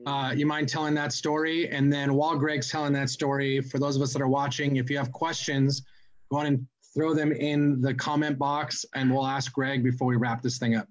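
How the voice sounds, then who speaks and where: A man's voice: mid-range voice, level tone, normal speed. A man in his thirties casually moderating on a video call with slightly dull, lo-fi sound. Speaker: co-host taking audience questions. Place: room at home, on a video call.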